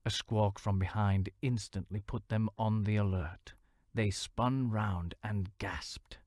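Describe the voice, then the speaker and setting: A man narrating a fantasy novel in a measured storytelling manner, sometimes dropping to a hushed near-whisper. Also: lowish voice, steady tone, no echo, studio quality. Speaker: audiobook narrator.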